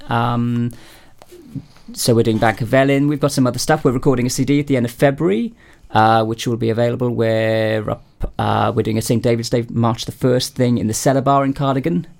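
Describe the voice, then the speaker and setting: Give me a man with a gravelly voice, chatting hesitantly as a radio guest. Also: medium pitch, fairly even intonation, brisk, a little mellow. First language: Welsh. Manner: hesitant, many fillers and restarts. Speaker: band member guest. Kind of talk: casual radio chat.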